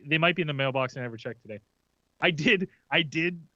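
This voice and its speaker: A man's voice: fairly high pitch, a little fast, sharp tone, no echo, lo-fi sound. A man co-hosting a casual group podcast, rambling through announcements and anecdotes. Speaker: podcast co-host.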